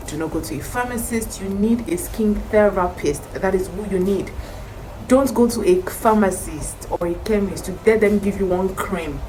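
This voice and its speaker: A woman with a croaky morning voice, chatting to camera in a skincare vlog and repeating her advice for emphasis. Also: on the low side, noisy background. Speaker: skincare vlogger. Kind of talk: casual talk to camera.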